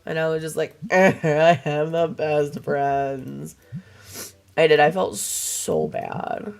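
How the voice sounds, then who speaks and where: Young woman streamer, low gravelly voice, still hoarse from a cold, slow rambling chatter, swears loosely. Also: steady tone. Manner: rambling, swears casually, chats to viewers. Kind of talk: casual livestream chat while gaming.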